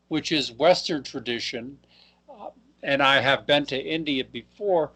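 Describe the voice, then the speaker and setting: A man hosting an interview, asking questions in a halting way and rephrasing mid-sentence. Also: on the high side, a bit bright. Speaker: interview host. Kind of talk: conversational interview.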